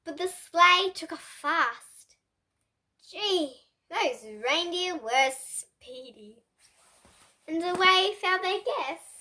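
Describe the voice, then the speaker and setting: A young girl reading a picture book aloud as host, with expressive, dramatic storybook phrasing. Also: very high-pitched. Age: child. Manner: slow, deliberate, dramatic phrasing.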